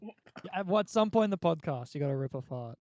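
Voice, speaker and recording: Higher-voiced man hosting a casual podcast. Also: animated, fast talker, a crowd in the background. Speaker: podcast host. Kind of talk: casual chat.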